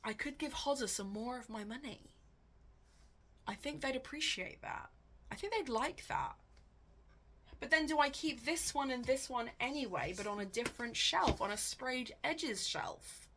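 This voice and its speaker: Bright, higher-pitched woman chatting casually. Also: lively intonation, unhurried. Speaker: book vlogger, host. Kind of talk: casual chatty vlog, thinking aloud.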